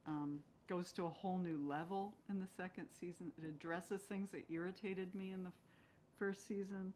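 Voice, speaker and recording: A woman's voice: lowish voice, fairly even intonation, moderate pace, darkish tone. A woman speaking as an actress guest in a casual interview, reflecting analytically as she talks. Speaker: guest, stage and screen actress. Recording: clear background noise.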